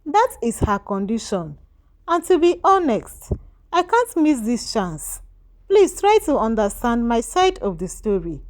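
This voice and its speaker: Woman reading as an earnest, ambitious husband, lively intonation. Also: on the high side, unhurried. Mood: apologetic, firm. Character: troubled, ambitious husband, hesitant but self-serving. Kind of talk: storybook dialogue.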